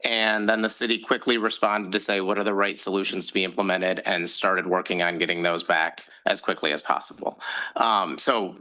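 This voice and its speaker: A council member speaks at a public meeting, his voice low and notably bright. He thanks staff and lists his points one by one in a measured, orderly manner. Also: steady tone. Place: council chamber.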